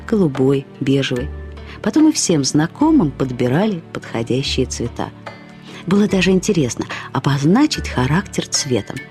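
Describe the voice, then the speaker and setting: A woman reading literary prose as a radio storyteller, in an expressive, reflective, measured way. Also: deep voice. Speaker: narrator of a short story. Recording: studio radio production with music. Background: music.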